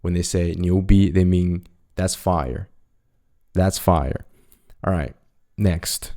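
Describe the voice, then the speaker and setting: A young man whose first language is Mandarin Chinese gives a relaxed, casual language lesson. He repeats each example phrase twice and chuckles lightly now and then. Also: low-pitched. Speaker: language teacher.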